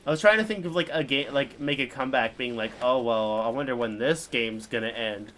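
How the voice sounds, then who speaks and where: A man livestreaming a game, chatting casually in an informal, halting, rambling way. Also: medium pitch, fairly bright tone, a little hiss or hum. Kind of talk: casual chat while gaming. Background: game music.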